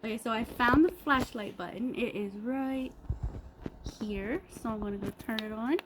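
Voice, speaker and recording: A woman giving a casual, improvised unboxing review, pausing mid-sentence in an appreciative, unhurried way. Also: a little high. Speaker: product reviewer. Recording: clearly noisy background ambience.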